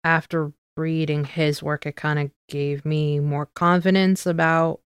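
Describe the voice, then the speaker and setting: A woman with a notably low, smooth voice talks casually, as a podcast co-host would. She works out her thoughts aloud and corrects herself along the way. The sound is close and very dry. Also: level tone, measured pace, no room sound. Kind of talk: casual conversation.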